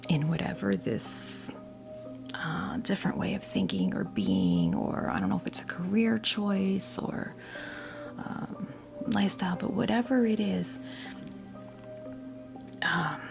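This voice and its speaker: A woman in her fifties gives an ASMR tarot reading in a breathy whisper, halting often as she reassures the listener. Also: fairly low pitch. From New Jersey, United States. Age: 50s. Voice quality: breathy whisper mixed with soft creaky voice. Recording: music playing behind the voice. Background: music.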